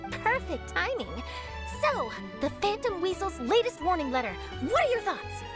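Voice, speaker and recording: A woman playing an eager young journalist chasing a scoop, brisk, upbeat and theatrical. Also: extremely high pitch, sing-song. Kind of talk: video game voice acting. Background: game score music.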